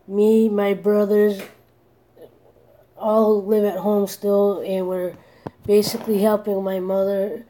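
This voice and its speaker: Slow, soft-spoken woman in her forties. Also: medium pitch, flat delivery. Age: 40s. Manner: hesitant, many restarts and "you know". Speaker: vlogger. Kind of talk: candid personal vlog.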